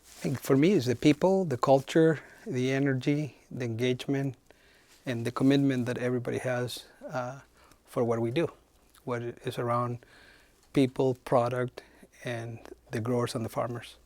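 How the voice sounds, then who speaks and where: A middle-aged man speaks in a thoughtful, unhurried way and often hesitates mid-sentence. His voice sits at an ordinary middle pitch. The recording is dry, with almost no room sound. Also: steady tone, a little mellow, no room sound. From Chile. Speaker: head of human resources. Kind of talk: interview answers.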